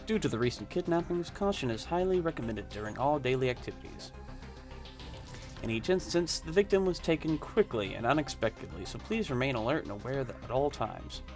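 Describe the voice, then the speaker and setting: A man's voice: medium pitch, expressive, a little fast, over music. Rambling young man reading game text. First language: not Japanese. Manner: rambling, hesitant, many fillers. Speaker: let's play gamer. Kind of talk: casual gameplay commentary, reading game text aloud.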